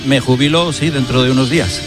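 A man in his sixties from Spain, a veteran sports radio reporter looking back on his career in an unhurried, hesitant way. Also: mid-range voice, fairly even intonation, music in the background. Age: 60s. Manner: reminiscing, unhurried, frequent hesitations. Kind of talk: radio interview.

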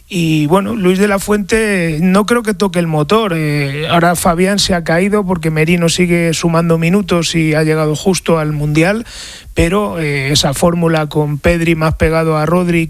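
A man reporting on football for a radio panel in a steady, explanatory way. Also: fairly high pitch, no echo. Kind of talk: radio sports analysis.

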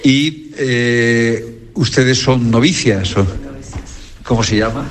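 A man's voice: medium pitch, slow pace. A man reporting live for radio from inside a convent parlor, talking with plenty of fillers and restarts. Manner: hesitant, many fillers and restarts. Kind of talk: on-location radio interview.

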